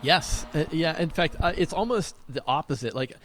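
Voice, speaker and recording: A man's voice: a little high, animated, normal speed, fairly bright tone, a little hiss or hum. A man co-hosting a podcast in casual conversation, informal and thinking aloud as he rephrases his points. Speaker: podcast co-host.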